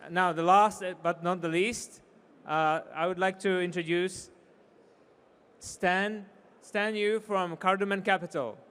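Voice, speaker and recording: A man's voice: high voice, fairly even intonation, unhurried, a bit bright, clean tone. A man hosting an event session as moderator, thanking and introducing speakers.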